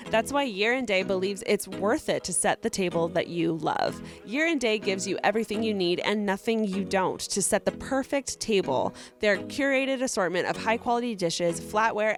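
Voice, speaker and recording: A woman in her twenties co-hosting a casual chat podcast, laughing often, her voice a little worn. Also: mid-range voice. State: voice worn from a festival. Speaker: podcast co-host.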